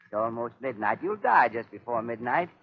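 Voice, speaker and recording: A man's voice: medium pitch, lively intonation, moderate pace, lightly acted. An actor playing a gloating mad inventor in a 1930s radio drama, mock-polite and menacing as he savours his cruelty, on a noisy, muffled old recording. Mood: gloating, sadistic.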